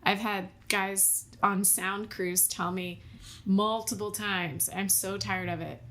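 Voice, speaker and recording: A woman pastor with a deep, loud voice and an American accent, talking frankly and animatedly in a casual podcast conversation. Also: slow pace. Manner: frank, animated, laughs easily. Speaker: lead pastor.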